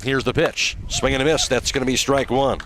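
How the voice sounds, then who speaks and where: An American man doing live radio baseball play-by-play, calling each pitch in short, clipped phrases. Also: steady tone, a little fast, fairly bright tone, slight background noise, low-quality recording. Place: at an outdoor high school baseball game.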